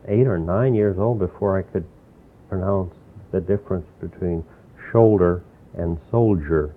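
A man's voice: low voice, a little slow. An older man from New York, recalling his childhood in a rambling, reflective way during an oral history interview. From New York, USA. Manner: rambling, reflective storytelling with restarts. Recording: tinny phone-like lo-fi recording with faint hiss.

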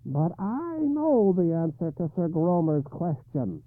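A man voicing a grotesque hag with a harsh, croaking voice, speaking slowly and coolly. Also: fairly high pitch, very animated, no room sound, phone-quality sound, pitched above the performer's own voice, full character voice. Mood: cold. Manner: cool, certain, slow.

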